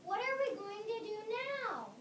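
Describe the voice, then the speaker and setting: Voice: very high voice, big pitch swings, normal speed, a bit bright, heavily acted. A young reader playing an anxious, scolding schoolgirl in an amateur readers' theater performance. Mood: alarmed. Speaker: student reader. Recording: distant mic in echoey, slightly noisy room.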